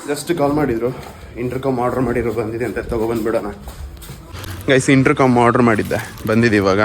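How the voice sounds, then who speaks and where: A man's voice: mid-range voice, fairly even intonation, quick pace, a little hiss or hum. A man with a Karnataka Kannada accent chatting informally to viewers as a vlogger. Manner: informal, addressing viewers as guys. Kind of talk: casual vlog chat.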